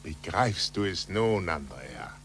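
An actor playing an impassioned, urgent astronomer in a 1940s radio play, speaking emphatically. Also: male voice, deep voice, lively intonation, slow pace, a little hiss or hum, exaggerated voice. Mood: hushed, wondering. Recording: husky-edged, bright 1940s radio recording.

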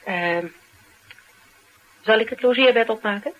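A woman's voice: on the high side, takes it slowly, muffled recording. An actress playing an assertive, wry woman investigator in a naturalistic Dutch radio drama, speaking in a dry, pointed way. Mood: guarded. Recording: radio drama with light background noise.

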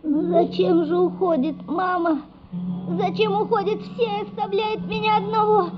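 A woman's voice: very high-pitched, level tone, moderate pace. A woman playing a small, feverish, frightened boy in a radio play, with plaintive childlike questioning. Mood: plaintive, bewildered. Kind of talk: Russian radio play acting. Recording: dull lo-fi radio play, loud music underneath. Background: loud music.

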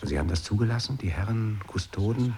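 A man's voice: fairly low pitch, lively intonation, fast talker, background noise, close to an everyday voice. An actor playing a sceptical, grumbling man who questions his pal in colloquial, incredulous radio play dialogue.

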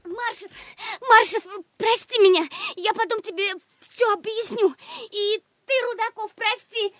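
A woman playing a wilful, flighty schoolgirl in a radio play, shrill and imperious. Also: fairly even intonation, measured pace, no echo. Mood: pleading. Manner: shrill, commanding.

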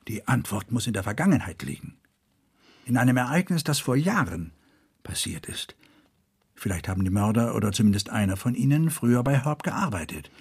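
Husky, brooding detective. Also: male voice, medium pitch, big pitch swings, fairly quick, exaggerated voice. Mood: brooding, weary. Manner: brooding, thinking aloud. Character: troubled, brooding male murder investigator, nervous and sleepless. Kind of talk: radio drama acting.

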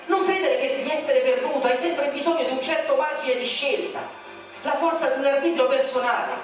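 A man with a high voice declaims poetry on stage with bitter indignation. He stands far from the mic in a cavernous, heavily echoing space, with music running underneath. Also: fairly quick. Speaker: poetry reader. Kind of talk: theatrical poetry recitation. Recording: very echoey stage recording from far off, music behind.

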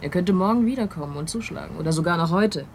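Low-voiced, wry policewoman. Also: expressive, fast talker, darkish tone, slight background noise. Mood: questioning. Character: matter-of-fact, wry female police officer on stakeout. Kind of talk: radio drama acting in German.

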